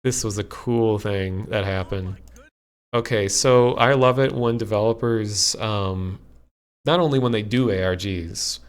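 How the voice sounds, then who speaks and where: Male streamer, lowish clean voice, unscripted and halting, unhurried delivery. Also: clear, resonant tone. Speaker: streamer, host. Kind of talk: unscripted live-stream commentary.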